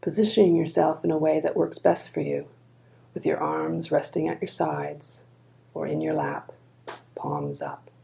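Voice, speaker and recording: A woman leading a guided body scan meditation in a calm, gentle, unhurried manner. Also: low-pitched, creaky, poor audio.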